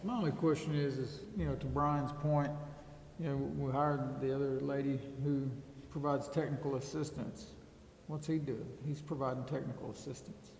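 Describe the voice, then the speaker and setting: A man's voice: mid-range voice, steady tone, moderate pace, vocal fry, darkish tone. A man informally raising questions as a council member at a meeting. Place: indoor council chamber. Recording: echoey chamber with faint noise.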